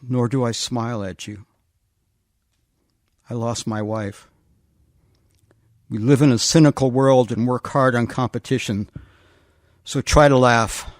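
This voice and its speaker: An actor playing an earnest, lovesick husband, pleading deliberately and sincerely in a radio comedy. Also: male voice, mid-range voice, no echo, clean studio sound, natural voice. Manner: deliberate, sincere delivery of absurd lines. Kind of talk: radio comedy romantic monologue.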